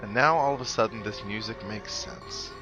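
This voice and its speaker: Guy playing a game and chatting, dry jokes, lowish voice, loud-ish game music under it. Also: normal speed. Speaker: host of a video game playthrough. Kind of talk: casual game commentary, reading on-screen text aloud. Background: video game music.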